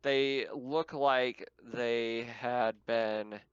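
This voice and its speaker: Male game master, casual and chuckly, clean smooth tone, dry close room, slightly muffled lo-fi online sound. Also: mid-range voice, steady tone, extremely slow, dry recording. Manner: chuckles often while talking. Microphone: online voice call.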